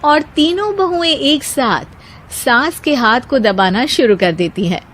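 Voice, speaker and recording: A woman's voice: high-pitched, animated, takes it slowly, a bit bright, a little hiss or hum. A woman reading scripted narration for a cartoon story.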